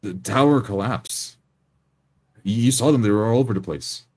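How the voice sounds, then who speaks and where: Very deep-voiced man roleplaying firmly. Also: moderate pace, a little mellow, husky. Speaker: tabletop roleplay player. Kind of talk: in-character roleplay mixed with describing actions.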